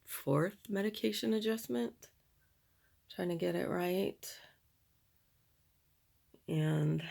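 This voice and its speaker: A middle-aged woman with a raspy voice, chatting candidly while doing her makeup, in a slow, rambling manner. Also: sits low, a little mellow. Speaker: beauty vlogger.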